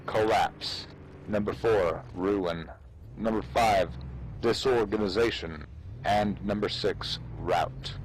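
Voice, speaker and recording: A man's voice: fairly low pitch, low-quality recording. Man reading dramatically over faint background noise. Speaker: amateur reader. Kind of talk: dramatic reading of an old military treatise.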